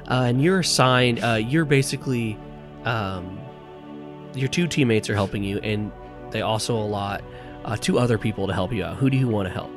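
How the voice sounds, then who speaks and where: A man with a fairly low voice leads a tabletop game, describing rooms and characters in a relaxed, rambling way. He fills his pauses with ums and chuckles. Faint music plays underneath. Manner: relaxed, chuckling, many fillers. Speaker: game master.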